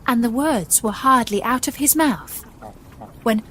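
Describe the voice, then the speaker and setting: A woman narrating a scripted children's story in a measured, expressive manner. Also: on the high side, background noise.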